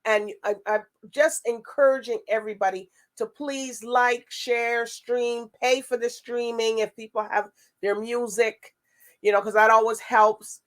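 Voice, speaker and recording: A woman's voice: a little high, level tone, measured pace. Chatty woman hosting a talk show. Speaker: show host.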